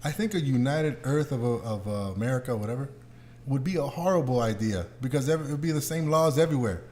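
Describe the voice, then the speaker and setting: A man's voice: medium pitch, animated, fast, darkish tone. A man hosting a podcast in a casual, joking group chat, speaking informally with slang and swearing. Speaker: podcast host. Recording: noisy room ambience.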